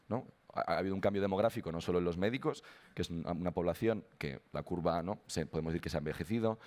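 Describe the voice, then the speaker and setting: A young man with a Spanish accent giving a conference talk, his voice hoarse after an illness, hesitant with frequent restarts. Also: sits low, a little mellow. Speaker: conference speaker.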